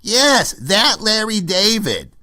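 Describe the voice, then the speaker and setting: A man playing a smug, whiny, self-promoting New York arts snob who co-hosts a comedy radio show with halting, repetitive exasperation. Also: high voice, huge pitch swings, sharp tone, full character voice. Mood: exasperated, amused. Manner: halting, repetitive, chuckles through exasperated complaints. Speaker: radio co-host. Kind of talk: comedy impression of a radio talk host.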